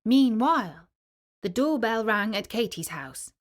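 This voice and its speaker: A woman storyteller narrating a children's story in an expressive, clearly articulated storybook manner. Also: on the high side, unhurried.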